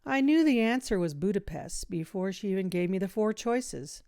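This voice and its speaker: A woman reading a children's story aloud in expressive, measured, clearly phrased narration. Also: mid-range voice, no echo, studio quality. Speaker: audiobook reader, teacher.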